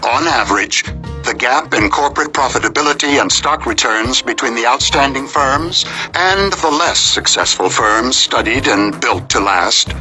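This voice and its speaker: A man reading nonfiction as an audiobook narrator in a measured, articulate, emphatic delivery. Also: medium pitch, expressive, bright, forceful tone, faint music behind, low-quality recording.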